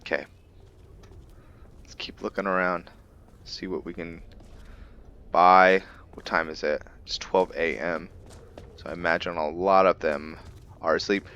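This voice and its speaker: A man with a deep voice narrates his game role-play casually. He speaks very slowly, musing aloud as "we". The recording is a little dull. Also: fairly even intonation, fairly bright tone, clean tone. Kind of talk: casual playthrough commentary.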